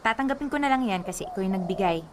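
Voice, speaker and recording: A woman's voice: medium pitch, animated, racing, background noise, lightly acted. A woman playing a stubborn, superstitious young Filipino girlfriend in a radio drama, girlish and pleading. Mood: apologetic. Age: young adult. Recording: music bed under the voice.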